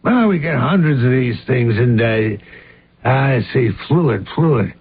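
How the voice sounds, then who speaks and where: An actor playing a folksy, cynical police inspector in a radio drama, chummy, slangy and offhand. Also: male voice, medium pitch, animated, takes it slowly, husky. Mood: dismissive, cynical. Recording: muffled lo-fi radio drama recording.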